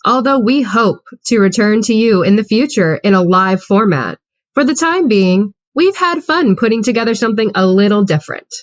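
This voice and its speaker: A woman's voice: medium pitch, animated, moderate pace, dry recording. Woman narrator, wry, dry close sound, muffled video call. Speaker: narrator and stage manager. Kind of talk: scripted introduction, amateur theater.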